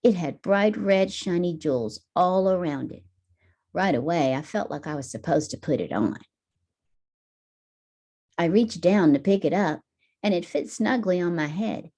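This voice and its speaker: A female teacher reads a picture book aloud. Her voice sits a little low and is very mellow, with deliberate, emphatic storytelling meant for children. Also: lively intonation.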